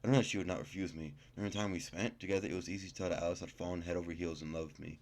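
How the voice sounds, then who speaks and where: A man's voice: low voice, fairly even intonation, very fast, a little mellow. A young man hosting a gaming video, casually reading game text aloud and commenting in slangy, informal speech, stumbling over words as he reads.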